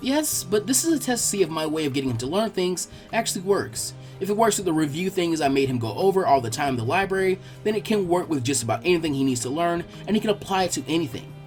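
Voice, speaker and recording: A man's voice: on the high side, expressive, quick pace, few pauses, darkish tone, soft background music, close to an everyday voice. A man voicing an earnest, bookish young girl tutor who explains things kindly and teasingly in an audiobook-style story. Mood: earnest explaining.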